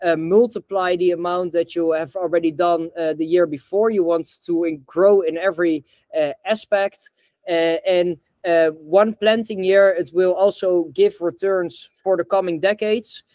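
Young guy from holland on a video call, highish voice, dry sound, lots of uhs and restarts. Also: steady tone, normal speed, a little mellow, no echo. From Netherlands. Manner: hesitant, many fillers and restarts. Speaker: guest, bamboo reforestation founder. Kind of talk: casual interview over video call. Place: indoor room.

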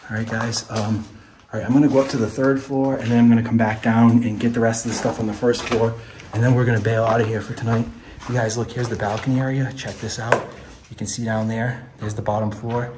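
A man's voice: medium pitch, flat delivery, brisk, few pauses. A man hosting a casual exploring vlog, talking to viewers as he films on a handheld phone inside an abandoned building. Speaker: host of an urban exploring video. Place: inside an abandoned mausoleum at night. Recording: noisy handheld phone recording.

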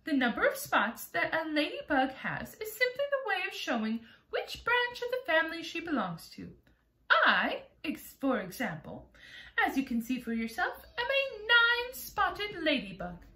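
A woman's voice: very high voice, huge pitch swings, normal speed, pushed and bright, roomy sound, voice pushed up, heavily acted. A woman voicing a small, proud female ladybug who speaks modestly but with a snobbish, refined manner. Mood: genteel.

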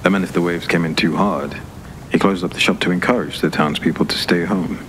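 A man reads dark fantasy fiction as a scripted audiobook narration. His voice is low and deep. Clear atmospheric noise sits behind him throughout. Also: steady tone, brisk, poor audio. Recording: clear background ambience noise.

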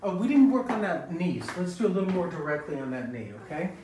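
A man's voice: high voice, big pitch swings, quick pace, warm tone. A middle-aged man, a chiropractor, explaining a hands-on treatment in instructional, anatomical terms. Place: small treatment room indoors. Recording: echoey room, distant mic, background noise.

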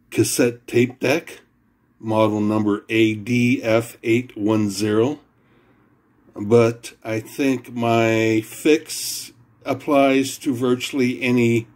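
A man talks through a home repair in a casual, halting way, pausing on fillers and repeating himself. His delivery is slow and unhurried at a mid pitch, picked up by a camera mic at his workbench. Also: a little mellow. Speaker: home repair video maker. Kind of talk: casual how-to explanation.

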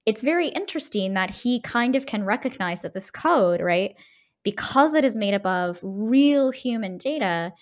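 A woman podcast host with a medium-pitched voice talks casually through a discussion. She often breaks up her explanations with a questioning "right" as she goes. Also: lively intonation, moderate pace. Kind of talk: casual discussion of a video game.

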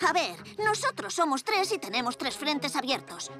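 A woman's voice: high-pitched, big pitch swings, normal speed, exaggerated voice. A woman voicing a brave young boy superhero who leads his team, confident and urgent, in a Castilian Spanish cartoon dub. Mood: reassuring, decisive. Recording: loud music behind. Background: loud cartoon score.

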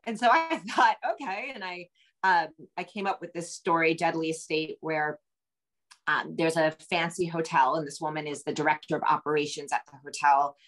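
A woman's voice: low voice, normal speed, fairly bright tone. A middle-aged woman with an American accent chatting casually as an interview guest on a video call, rambling and self-deprecating. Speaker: interview guest, novelist and screenwriter. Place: home room with bookshelves.

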